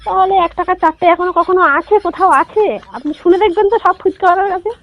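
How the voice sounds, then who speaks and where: High-pitched bantering woman on phone. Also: brisk. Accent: West Bengali. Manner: plain-spoken, bantering, retorting with questions. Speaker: street food vendor. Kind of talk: casual phone conversation. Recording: phone call with faint noise.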